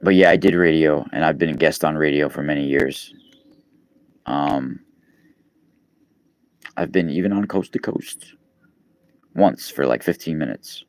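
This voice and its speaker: A man's voice: rumbling low, measured pace, pauses often, no room sound. A man, chatting casually on a live stream, rambling with many fillers and restarts. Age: 40s. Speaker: podcast host and radio guest. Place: East Coast, New York.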